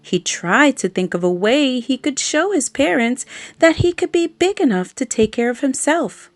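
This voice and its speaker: A woman reading a children's story aloud, expressive and adding playful little asides to her listeners. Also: high-pitched, moderate pace, no room sound. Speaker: storybook reader. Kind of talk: bedtime story read-aloud. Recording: faint background music.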